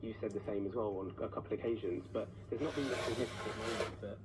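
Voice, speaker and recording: A man's voice: fairly low pitch, fairly even intonation, fast talker. A man talking casually in a discussion, heard playing from an old radio. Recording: very noisy, played through an old radio.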